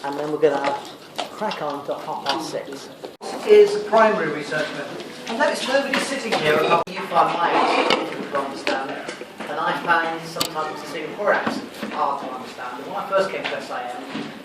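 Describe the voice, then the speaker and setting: A man with a high voice delivers a revision lecture in a didactic style, often putting a question and then answering it himself. He is recorded from a distance in a very reverberant lecture room, with clear background noise. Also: animated, brisk. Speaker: sociology lecturer. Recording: echoey room, distant mic, noisy.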